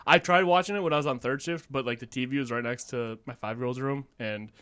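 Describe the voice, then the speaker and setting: A man's voice: mid-range voice, quick pace, a crowd in the background. A young man co-hosting a podcast, laughing and trading insults in casual banter. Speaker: podcast co-host. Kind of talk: casual chat.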